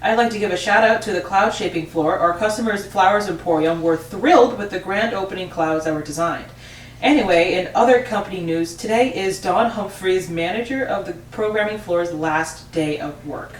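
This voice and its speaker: A woman's voice: fairly low pitch, moderate pace, close to an everyday voice. A woman playing a friendly, chatty factory boss in an amateur table read, talking in an upbeat, rambling way. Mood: upbeat, announcing. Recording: roomy, distant mic, faint noise.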